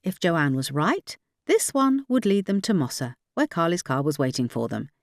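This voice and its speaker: Low-pitched woman narrating a novel. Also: very animated, moderate pace, no echo, clean studio sound. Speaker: audiobook narrator. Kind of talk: scripted dramatic fiction narration.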